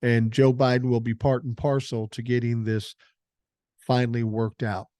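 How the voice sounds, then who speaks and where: Older man, blunt and profane, mellow voice, dry close sound. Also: medium pitch, level tone, normal speed, no room sound. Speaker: podcast host. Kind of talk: political commentary, reading news aloud.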